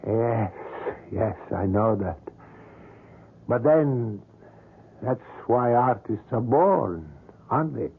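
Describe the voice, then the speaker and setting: Obsessive older sculptor; smooth and persuasive, mid-pitched, thin lo-fi radio sound. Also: male voice, lively intonation, slow, long pauses, full character voice. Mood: courtly, insistent. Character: obsessive older male sculptor, suave and insistent. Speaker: sculptor character. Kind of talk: 1970s radio drama acting. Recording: tinny lo-fi old radio broadcast.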